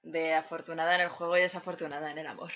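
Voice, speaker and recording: A woman's voice: low voice, flat delivery, rapid-fire, lo-fi sound. A woman co-hosting a casual podcast from her computer, laid-back and wry. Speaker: podcast co-host. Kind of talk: casual chat. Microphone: computer, remote call.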